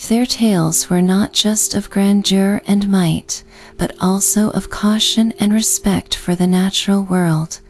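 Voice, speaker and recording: A woman narrating a scripted bedtime sleep story in a gentle, calming, meditative way. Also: medium pitch. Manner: slow, gentle, calming delivery. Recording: soft music behind the voice. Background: background music.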